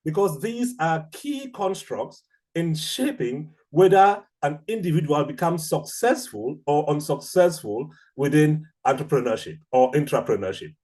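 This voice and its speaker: Mellow Cameroonian man lecturing. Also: fairly high pitch, lively intonation. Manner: measured, deliberate, explanatory with rhetorical questions. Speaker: academic researcher, seminar speaker. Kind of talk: academic lecture.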